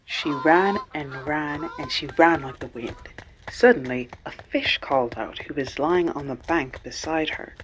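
A man's voice: a little high, unhurried, close to an everyday voice, poor audio. A man narrating a children's radio play as a scripted storybook tale.

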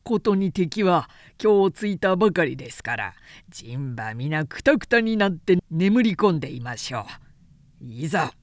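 A woman's voice: low-pitched, big pitch swings, unhurried, no echo, clean studio sound, voice pushed down. A woman voicing a boisterous, confident male warrior general with emphatic, old-fashioned speech in an audiobook reading. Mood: confident, eager.

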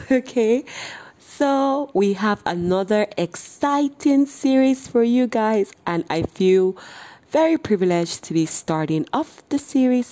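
Excitable Nigerian American woman podcast host. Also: on the high side. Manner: excitable, laughs often, exclaims.

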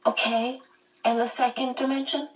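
A woman's voice: sits high, level tone, normal speed, recorded from a distance, voice pushed up, natural voice. A woman doing a precocious, patient little boy. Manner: patient, teacherly.

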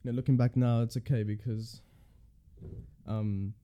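A man's voice: mid-range voice, steady tone, moderate pace, smooth, highs cut off. A young man with a South African accent, a university student chatting casually and thoughtfully. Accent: South African English. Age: young adult. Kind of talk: casual chat.

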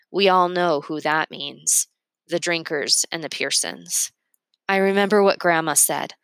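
Lower-voiced woman reading a story aloud. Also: a bit bright, dry recording. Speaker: read-aloud narrator. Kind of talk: expressive storybook read-aloud in first person.

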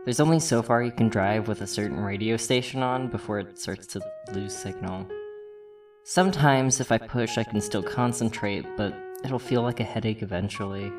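A man's voice: fairly low pitch, normal speed, distant mic, natural voice. An actor playing a withdrawn, weary young man in an introspective audio drama monologue. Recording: music over the voice.

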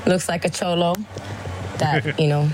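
Giggly low-voiced woman leaving a voicemail. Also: fairly even intonation, measured pace, background noise. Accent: Texan Chicano English. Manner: giggly, swears freely. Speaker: voicemail caller. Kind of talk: casual storytelling voicemail.

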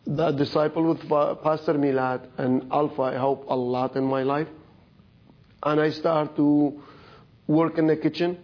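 A man from Iraq giving a personal testimony at a church service, speaking halting with frequent fillers and restarts. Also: on the high side, steady tone, lo-fi sound. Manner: halting non-native English with fillers and restarts.

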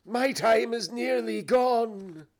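High-pitched tormented ghost. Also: male voice, a crowd in the background. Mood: urgent, grave warning. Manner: declamatory, drawn-out, anguished cries. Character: tormented male ghost, wailing, preachy, remorseful old business partner. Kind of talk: dramatised reading of a classic ghost story.

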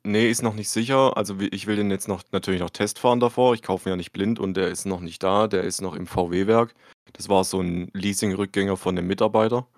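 A man's voice: low-pitched, steady tone, brisk, no room sound, studio quality. A man co-hosting a podcast in casual chat, rambling through everyday stories and arguing small details. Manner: chatty, rambling storyteller, argues details. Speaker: podcast co-host.

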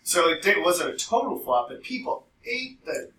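Bright-voiced man chatting in an echoey room. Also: high voice, moderate pace. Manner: animated storytelling, frequent swearing. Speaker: podcast co-host. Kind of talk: casual rambling chat. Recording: roomy echo, distant mic, faint noise.

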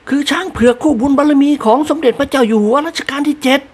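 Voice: sits high, fast talker, voice pushed up, lightly acted. A reader voicing a dignified elderly nobleman speaking formally in an audiobook. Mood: stern. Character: dignified elderly nobleman, stern but amused.

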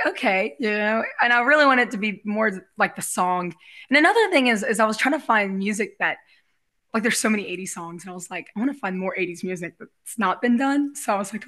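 A woman guest in a casual interview with a raspy, very bright voice. She talks effusively and loosely, rambling from one thought to the next in a giggly manner. Her pitch sits in the middle range for a woman. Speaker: guest, realtor and musician.

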